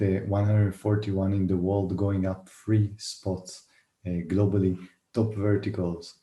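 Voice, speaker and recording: A man hosting an online event, presenting casually with halting, hesitant phrasing. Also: low-pitched, dark tone. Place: indoor room, online video call. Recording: roomy, echoey sound.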